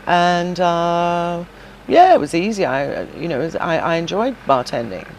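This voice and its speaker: Middle-aged woman, low-pitched, chatty guest, loose conversational delivery, faint noise under the voice. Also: animated, normal speed, poor audio. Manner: chatty and warm, many fillers and restarts. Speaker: podcast guest, shop owner and former singer. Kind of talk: casual interview chat.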